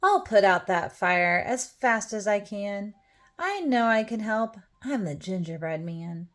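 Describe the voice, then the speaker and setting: A woman's voice: medium pitch, expressive, measured pace, fairly bright tone. A woman voicing a small, cheeky, plucky gingerbread man in bouncy rhymes for a storybook. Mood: eager, plucky. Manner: bouncy, rhyming boasts and exclamations.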